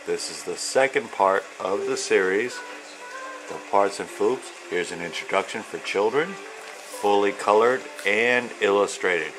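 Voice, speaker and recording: Low-voiced man reading slowly. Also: music in the background, poor audio. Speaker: author and illustrator reading own children's book. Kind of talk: read-aloud storytelling of rhyming children's book.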